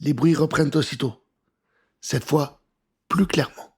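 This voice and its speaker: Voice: medium pitch, fairly even intonation, a little slow, darkish tone, husky. A storyteller reading a scripted children's adventure in a theatrical, suspenseful way.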